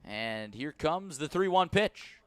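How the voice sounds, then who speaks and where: A man calling baseball live on radio from a press box as play-by-play announcer, with a measured delivery that lifts on each pitch. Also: sing-song, smooth. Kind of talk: live radio sports commentary.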